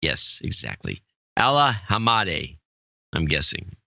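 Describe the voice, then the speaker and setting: A man's voice: low-pitched, big pitch swings, creaky, sharp tone, no echo, muffled recording. A man co-hosting a podcast, reading a long list of donor names and joking in between, stumbling over how to say them. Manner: reads names haltingly, stumbles over pronunciations and jokes in between. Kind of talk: casual chat.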